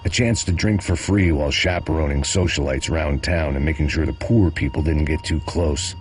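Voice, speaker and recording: A middle-aged American ex-cop in a noir video game. The voice sits very low, weary and deadpan, with sour, fatalistic wit. Music plays clearly behind it. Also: male voice, fairly quick. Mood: grim, sardonic. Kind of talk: hardboiled noir game narration and dialogue.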